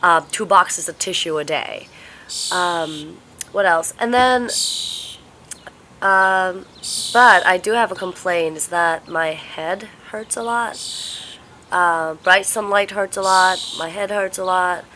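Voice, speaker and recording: Congested young woman vlogging, bright voice. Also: on the low side, a little hiss or hum. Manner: slow, halting, tired delivery. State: congested, sniffly with a cold. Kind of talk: casual vlog chat. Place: home, indoors.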